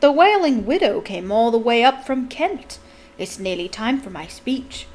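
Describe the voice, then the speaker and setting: Pompous gentleman ghost for a storybook, formal, thin-skinned, proud; read by a woman. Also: fairly high pitch, lively intonation, measured pace, slight background noise. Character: proud, touchy gentleman ghost, easily embittered.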